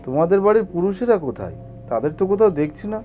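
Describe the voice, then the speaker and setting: Man acting a high-voiced, weary wandering traveler. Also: big pitch swings, fast talker, muffled recording, faint music behind. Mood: weary, polite. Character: polite, weary wandering traveler seeking shelter. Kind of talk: animated horror story dialogue.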